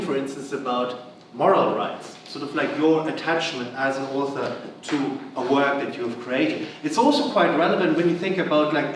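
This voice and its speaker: Middle-aged German-accented man giving a chatty talk, noisy room in the background. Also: on the high side. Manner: chatty, many fillers and restarts. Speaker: university lecturer. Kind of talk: conference lecture. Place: large conference hall. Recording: echoey hall, voice from far off, background noise.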